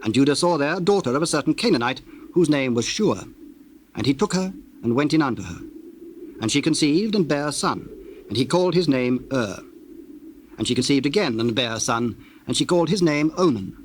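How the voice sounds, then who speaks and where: Guy reading bible narration, solemn, medium pitch, bit of cassette noise behind. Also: lively intonation, brisk. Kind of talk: solemn dramatized scripture narration. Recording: hissy old cassette tape, birdsong behind.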